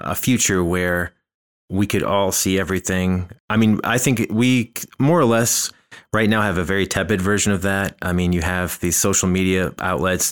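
A man's voice: deep voice, fairly bright tone. A man hosting a podcast, talking through ideas in a thoughtful, rambling way, often restarting his phrases. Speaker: podcast host. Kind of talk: casual conversational discussion.

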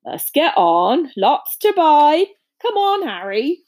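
A woman's voice: mid-range voice, sing-song, a little slow, darkish tone, slightly rough, full character voice. A woman voicing a big, good-natured man with a blunt, folksy, emphatic way of talking in a storybook. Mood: brisk, hearty. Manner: folksy, informal, emphatic speech.

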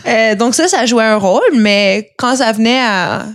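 A woman's voice: on the high side, measured pace, a crowd in the background. A young woman, telling her own story frankly as a podcast guest, laughing easily. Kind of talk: casual conversational storytelling.

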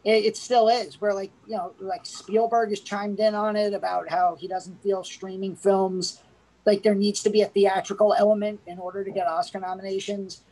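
A woman's voice: mid-range voice, flat delivery, normal speed, mellow, low-quality recording. A woman co-hosting a casual movie review, talking hesitantly with many restarts. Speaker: podcast co-host.

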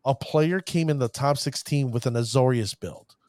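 A man's voice: mid-range voice, moderate pace, a little mellow, no echo. A man hosting a card-game show, talking with showman flourishes and stumbling over player names. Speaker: podcast host. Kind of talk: hype-filled casual show talk.

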